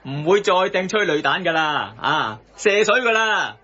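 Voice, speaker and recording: An actor playing a wry, pointed commentator with rhetorical jabs and a Hong Kong Cantonese accent in a radio satire. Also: male voice, a little high, big pitch swings, measured pace, pushed and bright, highs cut off, heavily acted.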